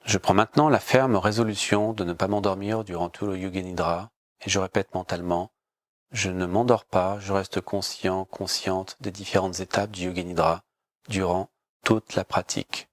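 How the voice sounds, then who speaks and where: Male meditation guide, lowish register, calm and measured instructional delivery, dry recording. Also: dry recording. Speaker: yoga nidra teacher.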